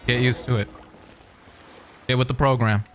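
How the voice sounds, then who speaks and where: A man's voice: medium pitch, fairly even intonation, normal speed, a bit bright. A man commentating casually on a game story, making sarcastic asides and reading text aloud. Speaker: streamer reacting to a game. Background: game music.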